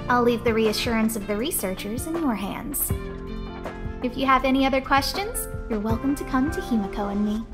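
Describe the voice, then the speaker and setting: Woman voicing young anime game researcher boss, high pitched, proper and earnest, bit worried, music behind. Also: animated, a little fast, lightly acted. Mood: grateful. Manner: polite, articulate, formal. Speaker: game character, space station lead researcher. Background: game background music.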